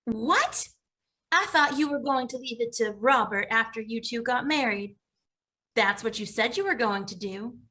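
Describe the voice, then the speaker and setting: A woman playing a frustrated, earnest grown daughter in an animated comedy table read. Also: a little high, unhurried. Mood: surprised.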